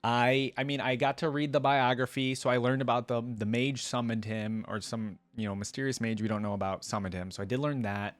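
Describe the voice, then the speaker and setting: A man's voice: medium pitch, steady tone, fairly quick, few pauses. A man hosting a reaction video, giving casual, unscripted commentary to viewers in a rambling, conversational way.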